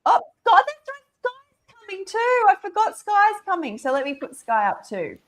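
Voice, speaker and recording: A woman from Australia hosting a live video call, bubbly and excitable, laughing often. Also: very high voice, normal speed. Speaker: host. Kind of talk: casual live-stream chat.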